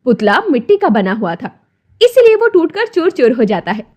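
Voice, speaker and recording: A woman's voice: high-pitched, sing-song, normal speed, a little mellow. A woman narrating a scripted moral story as its storyteller.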